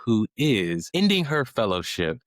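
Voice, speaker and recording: A man with a very deep voice hosts a podcast, speaking with enthusiasm and tripping over repeated words as he goes. His voice is recorded close and dry. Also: big pitch swings, measured pace, dry recording. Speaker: podcast host. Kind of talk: interview conversation.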